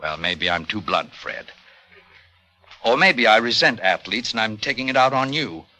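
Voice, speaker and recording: Wry, tired old professor, forceful delivery. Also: male voice, lowish voice, lively intonation, moderate pace. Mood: self-mocking. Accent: American. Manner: wistful, rambling, dryly self-mocking. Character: wry, self-deprecating tired older male college professor. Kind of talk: mid-century American radio drama acting.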